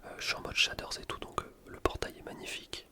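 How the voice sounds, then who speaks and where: A man commentating on gameplay in a breathy, unvoiced ASMR whisper, informal and explanatory. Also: quick pace. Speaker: gaming video creator. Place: voice-over recorded separately from gameplay.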